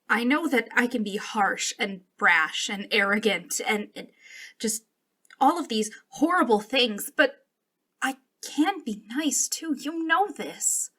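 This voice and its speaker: A woman's voice: a little high, bright, forceful tone. A woman playing an arrogant, jealous young princess in a dramatic ASMR roleplay, imperious but wounded and emotional. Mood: hurt. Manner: imperious, emotional, halting when hurt. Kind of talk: ASMR roleplay, dramatic one-sided dialogue.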